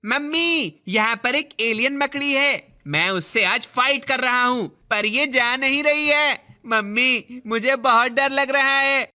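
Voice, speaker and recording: A timid little cartoon schoolboy who is frightened of spiders, as an actor plays him. He talks earnestly, like a young child, and his tone is strikingly bright and sharp. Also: sits high, takes it slowly, few pauses, full character voice. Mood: scared. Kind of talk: animated storybook voice acting.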